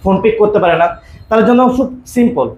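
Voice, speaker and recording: A man with a Bangladeshi accent giving an unscripted product sales pitch, repeating phrases for emphasis. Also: fairly quick, darkish tone, muffled recording. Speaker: shop seller presenting products. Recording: roomy echo, clear background noise.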